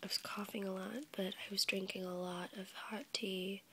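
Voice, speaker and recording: A woman's voice: medium pitch, little pitch movement, moderate pace, a little hiss or hum. Whispering young woman with a cold. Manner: soft unvoiced whisper, rambling with fillers and small laughs. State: sick with a cold, congested. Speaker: ASMR YouTuber. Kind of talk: whispered ASMR ramble. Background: crinkling packaging.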